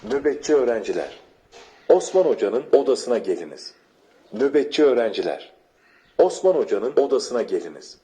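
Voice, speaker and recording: A man's voice: medium pitch, very animated, normal speed, mellow, faint music behind. A man delivering short recorded public paging announcements.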